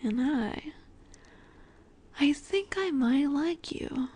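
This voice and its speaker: A woman playing a seductive, possessive vampire in an intimate roleplay audio drama, teasing and coaxing in a soft, breathy voice that often slips into whispers. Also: high voice, lively intonation, very slow, heavily acted. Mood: seductive, gentle.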